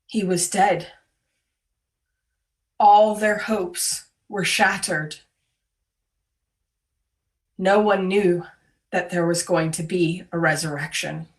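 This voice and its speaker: Female worship leader, grave and deliberate, slightly low voice, very slow delivery of solemn liturgy, miked from a distance. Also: fairly even intonation. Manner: grave, deliberate, with short weighted phrases. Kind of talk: solemn scripted prayer and reflection. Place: online video call.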